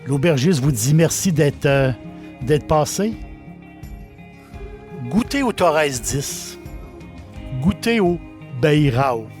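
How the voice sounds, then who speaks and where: A man's voice: a little high, animated, slow pace, long pauses, darkish tone, soft background music. A man with a Québécois French accent, an innkeeper hosting a casual, folksy talk who often repeats his words. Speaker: innkeeper host. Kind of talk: casual talk about a liqueur.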